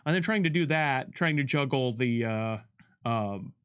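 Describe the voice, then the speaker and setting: A man's voice: medium pitch, animated, a little slow, fairly bright tone, clean tone. A man giving conversational developer commentary, explaining things step by step and often checking with "right?".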